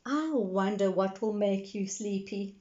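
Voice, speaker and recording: A woman voicing a kindly, patient grandmother pig who coaxes gently in a children's storybook. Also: mid-range voice, measured pace, lo-fi sound, natural voice. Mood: gentle.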